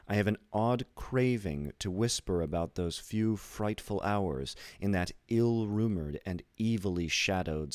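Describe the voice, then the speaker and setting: Deep-voiced man narrating classic horror. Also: dry recording, studio quality. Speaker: audiobook reader. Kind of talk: scripted literary narration of a horror story.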